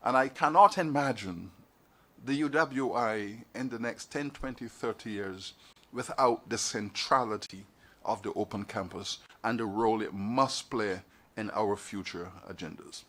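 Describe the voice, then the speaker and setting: A man's voice: lowish voice, fairly bright tone. Man from Barbados giving a formal address. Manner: measured oratory with repeated phrases. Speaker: university leader giving an address. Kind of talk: formal speech at a council meeting. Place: meeting hall.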